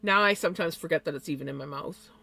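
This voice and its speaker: Streamer, woman, bright tone, slight lisp, rambly casual chat full of ums and quick laughs. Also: deep voice, creaky. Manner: rambling, with many fillers and small laughs. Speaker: live streamer.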